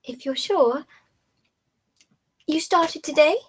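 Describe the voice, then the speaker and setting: A gentle sixteen-year-old girl, acted by a woman at a table read. Her voice is high and soft. She talks politely and tentatively, with a lonely curiosity. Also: slow. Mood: shy, curious. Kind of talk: dramatic script table read.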